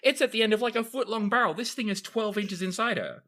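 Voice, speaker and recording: A woman's voice: mid-range voice, fast talker, a bit bright. A woman improvising roleplay banter and narration, exclaiming in disbelief and dropping in explanatory asides. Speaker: tabletop roleplayer on a podcast.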